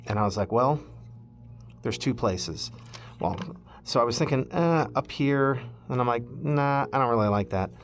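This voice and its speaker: A man's voice: medium pitch, moderate pace. A man casually walking viewers through a hands-on DIY project, thinking aloud in an informal way as he goes. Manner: informal, thinking aloud while handling parts. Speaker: host of a DIY electronics project video.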